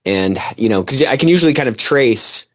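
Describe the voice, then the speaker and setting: Host: man, mid pitch, relaxed and easygoing. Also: fairly quick. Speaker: podcast host. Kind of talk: casual interview.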